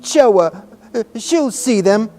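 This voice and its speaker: A man's voice: sits high, expressive, slow pace, warm tone, faint music behind, voice pushed up. A man voicing a hysterical, sickly young boy in a storybook, in a choked, sobbing way. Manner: choked, gasping, wailing.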